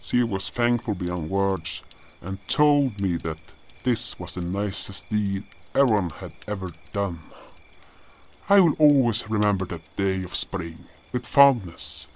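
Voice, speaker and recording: A man playing an old, gentle spirit guardian, earnestly telling his tales in an audio drama, in a measured, solemn way. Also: sits low, animated. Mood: solemn, wistful. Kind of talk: audio drama first-person narration and dialogue. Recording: noisy track with cricket ambience. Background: crickets and outdoor night ambience.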